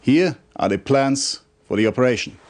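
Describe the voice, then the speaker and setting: An actor playing a stern, formal military commander who briefs an officer in a measured, authoritative way for a video game cutscene. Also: male voice, medium pitch, expressive, a little mellow, close to an everyday voice. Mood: skeptical. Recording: compressed old game video audio.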